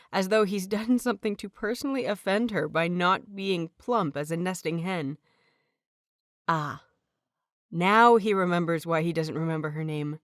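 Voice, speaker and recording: A woman reading fanfiction aloud as an audiobook, with expressive, playful inner-monologue delivery. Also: fairly low pitch, no room sound, clean studio sound. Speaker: audiobook reader.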